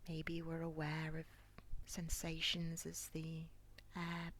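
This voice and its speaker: A woman leading a guided body scan meditation in a soft, near-whispered, breathy voice with a calm, gentle, soothing manner. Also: low-pitched, slow pace, slight background noise. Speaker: guided relaxation instructor.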